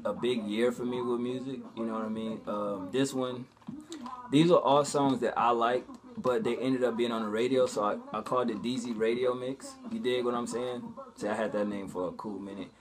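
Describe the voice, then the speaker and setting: Young adult male vlogger, medium pitch, informal and slangy, swears freely, casual conversational delivery. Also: fairly even intonation, normal speed. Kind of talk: casual vlog chat.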